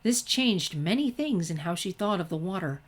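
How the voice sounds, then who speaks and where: A woman's voice: lowish voice, lively intonation, normal speed, husky. A woman narrating a written story as a fan fiction reader.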